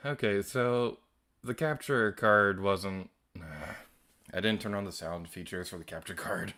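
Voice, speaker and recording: A man streaming a video game with a naturally quiet voice, chatting casually and a little self-deprecating as he plays. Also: on the low side, takes it slowly. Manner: soft-spoken, rambling and self-deprecating. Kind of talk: casual gameplay commentary.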